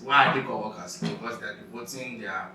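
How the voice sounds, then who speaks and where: A man's voice: on the low side, fairly quick, bright, forceful tone. A young man, a guest in a casual discussion, explaining his opinion step by step and defining his terms. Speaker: guest on a youth discussion show. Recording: echoey room, distant mic, faint noise.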